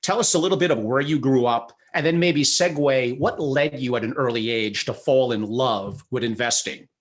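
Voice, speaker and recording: Male podcast host, chatty, medium pitch, video call, reads disclaimers. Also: normal speed. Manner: chatty, gives long disclaimers. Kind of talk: interview introduction.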